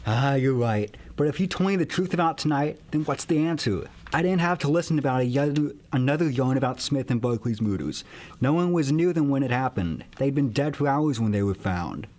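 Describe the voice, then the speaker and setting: A man's voice: medium pitch, lively intonation, a little fast, a little mellow, lightly acted. A man playing a blunt, commanding police captain who interrogates in an exasperated manner, in a radio play. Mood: frustrated. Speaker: amateur student actor.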